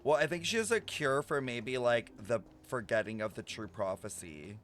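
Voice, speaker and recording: Animated young male game streamer. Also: medium pitch, moderate pace, a little hiss or hum. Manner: slangy, informal, swearing. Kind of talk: casual game reaction commentary. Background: game music.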